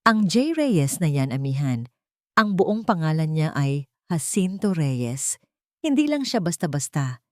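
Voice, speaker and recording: Woman voicing serious, measured Filipino attorney. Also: sits low, very animated, darkish tone, no room sound, clean studio sound. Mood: grave, explaining. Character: serious, informed attorney giving grave news.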